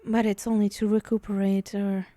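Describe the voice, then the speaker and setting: A woman playing a powerful, possessive female demon in an ASMR roleplay, teasing and condescending in a softly menacing murmur that slips toward whispers. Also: mid-range voice, flat delivery, normal speed, no echo, clean studio sound, lightly acted. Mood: haughty, condescending.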